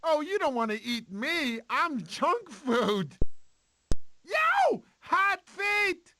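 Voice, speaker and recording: A man's voice: very high-pitched, wildly swooping pitch, very slow, bright, forceful tone, slight background noise, full character voice. A man voicing a goofy, nervous prehistoric animal in a cartoon game, with jittery wisecracks. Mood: nervous, joking. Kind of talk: short video game voice clips.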